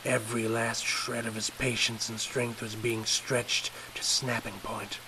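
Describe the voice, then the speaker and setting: A male storyteller with a mid-pitched voice reads a scripted horror tale. A little noise sits behind his narration. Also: level tone, a little slow.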